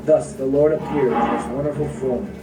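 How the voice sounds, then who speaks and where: A man narrating a scripted puppet show story in solemn, measured phrases. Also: medium pitch, steady tone. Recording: echoey, distant, music behind.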